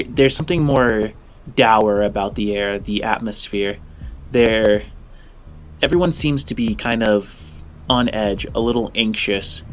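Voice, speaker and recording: A man improvising narration as game master of a tabletop game, in a halting, informal, descriptive way. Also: on the low side, slight background noise.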